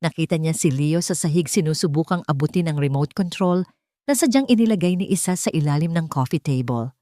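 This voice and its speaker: A woman's voice: sits low, animated, fairly quick, mellow, dry recording, clean studio sound. A woman with a Filipino accent reading a scripted, dramatic story as an audiobook narrator.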